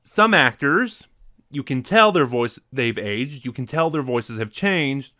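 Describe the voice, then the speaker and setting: A man speaks as a reviewer in a casual, conversational style. His delivery is a little halting, as if working out his thoughts aloud, and the sound is dry with little room in it. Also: mid-range voice, expressive, sharp tone, no echo. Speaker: reviewer ranking favorite audio dramas.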